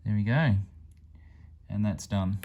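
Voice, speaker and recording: A man's voice: lowish voice, takes it slowly, a little mellow, highs cut off, a little hiss or hum. A man giving a casual, plain-spoken hands-on product demonstration as a hobbyist reviewer. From Australia.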